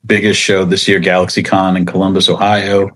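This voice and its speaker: A man chatting casually as an interview guest, talking about his work in a halting, laid-back way. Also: low voice, monotone. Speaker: interview guest, comic book writer.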